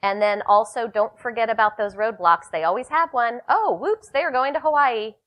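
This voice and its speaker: A woman's voice: a little high, moderate pace, a bit bright. Upbeat woman coaching a workshop room. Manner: upbeat, coaching, calls on participants. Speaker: workshop trainer. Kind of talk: live workshop talk to a room.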